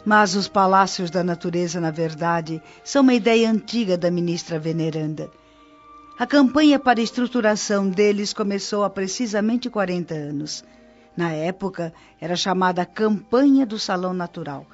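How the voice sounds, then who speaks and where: A woman's voice: fairly low pitch, normal speed, muffled recording, soft background music, natural voice. A woman playing an observant, patient old nurse guide in a Brazilian radio drama, explaining in an instructive way with a Brazilian accent.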